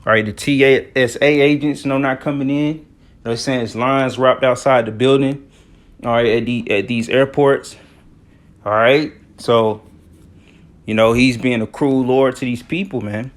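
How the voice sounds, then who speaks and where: A man's voice: medium pitch, fairly even intonation, normal speed. A man from the United States giving informal, slangy commentary on news and scripture, often punctuating his talk with filler phrases. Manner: conversational, slangy, repeats "all right" and "you know". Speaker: religious commentator.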